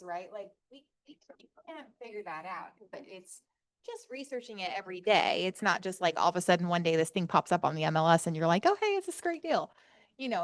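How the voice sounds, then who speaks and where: A woman on a real estate panel who flips houses, talking in an energetic, conversational way. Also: on the low side. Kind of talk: seminar panel talk.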